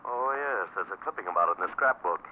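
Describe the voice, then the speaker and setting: An actor in 1940s radio style playing a brisk, wry amateur detective who takes charge. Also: male voice, low voice, lively intonation. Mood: concerned. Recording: noisy, narrow old radio broadcast.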